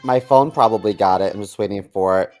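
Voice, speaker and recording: A man's voice: fairly low pitch, brisk, a little mellow, soft background music. A man co-hosting a podcast, reading a comic story aloud and chatting casually. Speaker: podcast co-host.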